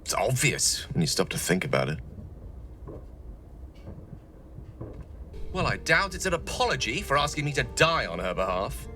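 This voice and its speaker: A man's voice: medium pitch, sing-song, a little fast, over music, close to an everyday voice. A man playing a proud, witty wizard in a video game, eloquent, theatrical and wry, nursing old heartbreak. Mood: earnest resolve. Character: proud, witty male wizard, wounded ex-lover of a goddess. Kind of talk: dramatic video game dialogue.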